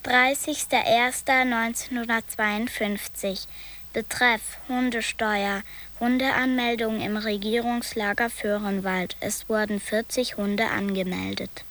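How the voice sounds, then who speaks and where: A woman narrator reading chronicle entries in a slow, deliberate way. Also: fairly high pitch, fairly even intonation, fairly bright tone, slight background noise.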